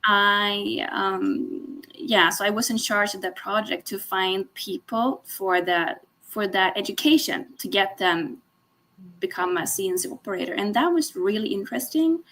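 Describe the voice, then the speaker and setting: A woman's voice: mid-range voice, a little slow, bright, forceful tone, poor audio. A woman, a guest on a video call, speaking hesitantly with many fillers and frequent laughs. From Sweden. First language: Swedish. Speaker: guest, sales director. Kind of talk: casual interview.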